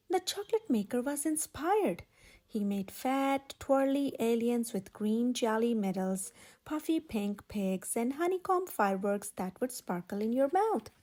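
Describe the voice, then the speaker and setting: A woman reads a picture book aloud for children in a high voice that swoops with expression. Her tone has a breathy edge, and she leans on key words for dramatic effect as a storytime host. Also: very animated, unhurried, dark tone. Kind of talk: animated children's read-aloud narration.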